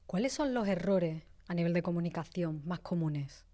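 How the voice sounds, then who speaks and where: A woman's voice: on the low side, lively intonation, moderate pace, mellow. A woman hosting a podcast interview, asking her guest questions and adding brief conversational remarks. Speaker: podcast host.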